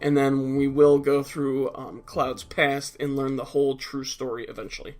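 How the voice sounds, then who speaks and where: A man's voice: medium pitch, level tone, moderate pace, darkish tone. A man with a stuffed-up nose giving casual, explanatory commentary over a video game, blunt and a little profane at times. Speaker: let's play commentator.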